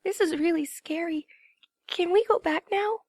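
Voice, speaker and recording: A woman voicing a timid young female pegasus pony who speaks softly and stammers. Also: extremely high pitch, normal speed, dry recording, clean studio sound. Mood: scared, timid. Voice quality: soft, stammering. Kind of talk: fan audiobook reading.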